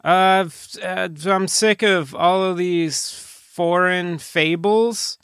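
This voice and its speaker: Guy podcast host, kinda high creaky voice, rambly dry jokes. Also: fairly even intonation, takes it slowly, a bit bright, no echo. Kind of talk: casual podcast banter.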